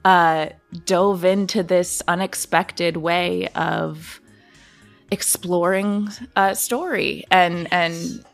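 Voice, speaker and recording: Creaky, lowish-voiced woman in conversation. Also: steady tone, extremely slow, faint music behind. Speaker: podcast guest, audio drama producer. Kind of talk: casual interview chat.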